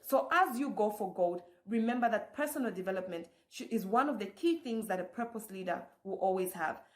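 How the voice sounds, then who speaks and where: A woman's voice: mid-range voice, animated, fairly quick. Coaching woman in an echoey room. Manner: coaching, rhetorical questions to the listener. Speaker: summit host. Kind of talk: motivational address.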